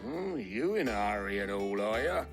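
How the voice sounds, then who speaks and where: An actor playing a chatty, cheeky boatman with a gravelly voice and a working-class English accent, droll and colloquial in a video game. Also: fairly low pitch, sing-song, moderate pace, over music. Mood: amused, easygoing. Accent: British, working-class English. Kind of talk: character voice acting in a video game.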